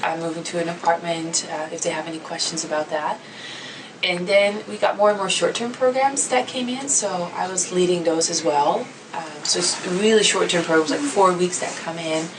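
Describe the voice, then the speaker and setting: A woman, chatting easily with students about her work as an international student coordinator, with plenty of fillers. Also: lowish voice. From Netherlands. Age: 30s. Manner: easygoing and chatty, with many fillers and frequent laughter. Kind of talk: casual self-introduction to students. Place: classroom. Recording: slightly distant mic with faint background noise.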